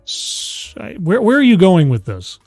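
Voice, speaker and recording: A man's voice: high-pitched, wildly swooping pitch, unhurried, a little mellow, no room sound, soft background music. Stammering talk show host man. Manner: stammering, interrupts, argues his point. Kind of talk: casual podcast chat.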